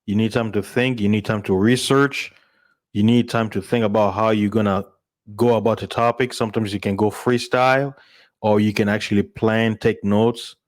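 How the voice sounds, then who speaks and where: A man's voice: lowish voice, steady tone, moderate pace. A man from Congo chatting casually to his listeners as a streamer and podcast host, in an informal way. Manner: informal, chuckles often.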